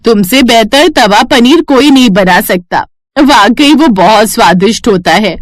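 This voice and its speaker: A woman voicing a kindly, reassuring elderly female cousin in a cartoon tale, animated and comforting. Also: on the high side, a little slow, no room sound, voice pushed up. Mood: encouraging.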